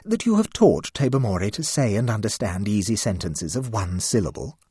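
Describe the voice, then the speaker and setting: A man's voice: on the low side, big pitch swings, moderate pace, warm tone, husky, dry recording, clean studio sound, pitched above the performer's own voice. A man voicing a prim woman asking a pointed question. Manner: prim, inquiring. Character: prim spinster, woman, prying.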